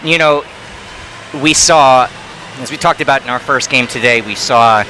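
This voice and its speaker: A man calling live hockey play-by-play as the lead commentator, with quick bursts of excitement on big plays. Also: medium pitch, slight background noise, low-quality recording. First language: not Thai.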